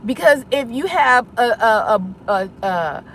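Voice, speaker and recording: A woman's voice: on the high side, animated, takes it slowly, a bit bright, a little hiss or hum. A woman delivering an impassioned public address online, insistent and confrontational, pressing her points with rhetorical questions. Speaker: online content creator.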